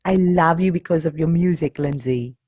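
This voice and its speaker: A woman's voice: low-pitched, normal speed, warm tone, no echo, dull audio. A woman joining a live stream chat, playful and enthusiastic. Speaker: stream participant. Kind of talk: casual chat.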